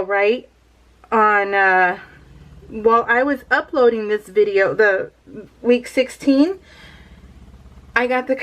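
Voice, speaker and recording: A woman in her thirties chatting casually while doing a scrapbooking project, rambling and laughing often. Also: sits high. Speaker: scrapbooking vlogger. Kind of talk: casual voiced-over craft chat.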